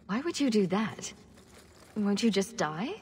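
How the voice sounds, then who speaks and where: A woman's voice: medium pitch, big pitch swings, a little slow, darkish tone, husky, exaggerated voice. An actress playing a bold, wisecracking young female hero in a video game, flippant and sardonic. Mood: wry questioning. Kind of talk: video game character acting.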